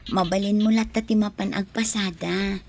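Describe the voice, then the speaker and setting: A woman playing a gentle, fearful pregnant young wife in a melodramatic radio drama, with plaintive, drawn-out delivery. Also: medium pitch, steady tone, vocal fry, slight background noise, natural voice. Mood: tender, wistful.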